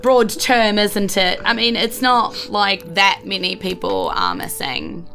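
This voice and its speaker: A woman's voice: mid-range voice, animated, slow pace, bright, forceful tone, heavily acted. A woman with a New Zealand accent voicing an evasive, flustered town speaker who stalls with constant ums and uhs. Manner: hesitant, full of "uh" and "um", stalling.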